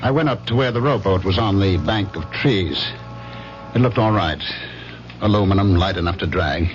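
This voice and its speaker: An actor playing a guilty, furtive husband in a radio thriller, tense and measured, sometimes halting. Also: male voice, low-pitched, music in the background, natural voice. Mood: grim, tense. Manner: measured narration, halting evasive dialogue. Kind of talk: radio thriller acting, first-person narration and dialogue. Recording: muffled, very noisy old radio recording.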